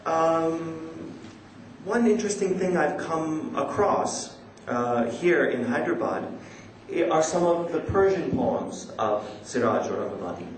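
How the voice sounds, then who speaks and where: A man giving a scholarly conference lecture in a measured, somewhat hesitant and self-deprecating manner. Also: a little high, lively intonation. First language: not a native Urdu speaker, which the talk quotes. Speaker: academic presenting a conference paper. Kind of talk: scholarly lecture with poetry recitation. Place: large indoor conference hall. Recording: echoey conference hall, background noise.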